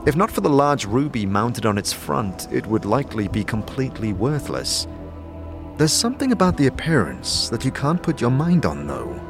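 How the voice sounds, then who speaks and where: A man's voice: medium pitch, very animated, normal speed, over music. A man narrating a fantasy audio drama as a storyteller, in scripted prose. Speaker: narrator and player character.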